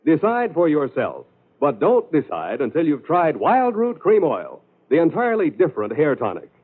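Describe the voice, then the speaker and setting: A man's voice: fairly high pitch, huge pitch swings, moderate pace, breathy edge. An American man announcing and selling on 1940s radio in an emphatic, polished salesman's style. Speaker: radio announcer and sponsor pitchman. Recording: old narrow radio broadcast with music.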